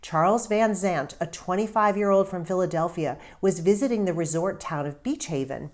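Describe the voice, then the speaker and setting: A woman with a fairly low voice reads aloud as an author sharing a story. Her narration is expressive and dramatic. She phrases things deliberately and leans on key words with emphasis. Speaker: author reading own book aloud.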